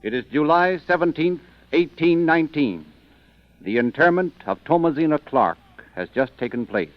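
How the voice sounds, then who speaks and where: A man narrating an old-time radio mystery from a script, dramatic and portentous, with weighty pauses. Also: a little high, animated. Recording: muffled old radio recording. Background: prominent music.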